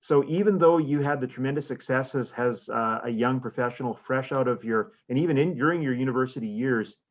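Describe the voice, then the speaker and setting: A man's voice: medium pitch, brisk. Rambling male moderator, dull audio. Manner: long, rambling questions with restarts. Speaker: interviewer, moderator. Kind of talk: moderating a speaker series Q&A.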